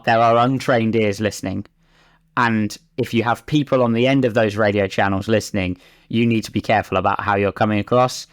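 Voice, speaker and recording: A man's voice: lowish voice, clean tone. A man talking as a racing driver and pundit, giving conversational, discursive analysis in an emphatic way.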